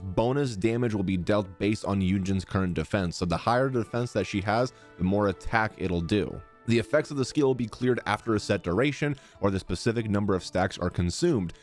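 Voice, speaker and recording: A man's voice: fairly low pitch, darkish tone. Male streamer, casual, faint music. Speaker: streamer, content creator. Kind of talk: casual reaction and commentary. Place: small home room, indoors. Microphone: close boom-arm studio microphone.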